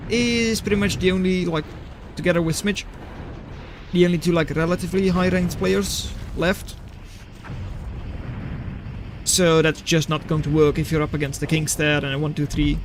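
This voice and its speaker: High-pitched male game caster. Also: noisy background. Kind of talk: live esports-style replay commentary, chatting with viewers.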